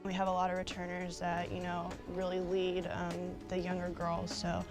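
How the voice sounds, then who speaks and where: Flat-voiced confident woman athlete. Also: lowish voice, normal speed, over music. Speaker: softball player. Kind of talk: interview answers.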